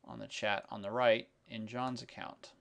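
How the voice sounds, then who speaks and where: A man giving an unscripted screencast tutorial in a halting, explanatory, step-by-step manner. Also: fairly low pitch, little pitch movement, vocal fry. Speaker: software demonstrator. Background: keyboard typing and mouse clicks.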